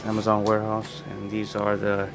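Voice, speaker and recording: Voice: low-pitched, monotone, moderate pace, noisy background. A person narrating an unboxing in a casual, laid-back, informal way. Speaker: unboxing video host. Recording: loud music behind the voice.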